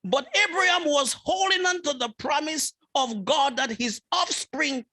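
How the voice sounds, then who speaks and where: A man's voice: extremely high pitch, unhurried, pushed and bright. A man preaching a sermon as a bishop, driving his points with repeated phrases and rising fervour.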